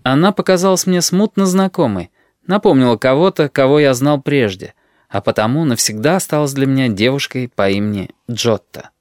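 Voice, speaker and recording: A man calmly narrating a first-person audiobook in a measured storytelling manner. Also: medium pitch, dry recording, studio quality.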